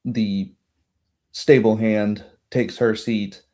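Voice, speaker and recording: A man with a mellow, fairly low voice works as game master of a tabletop session. He narrates slowly and haltingly, feeling out each phrase as he improvises the scene. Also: fairly even intonation. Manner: hesitant, halting delivery.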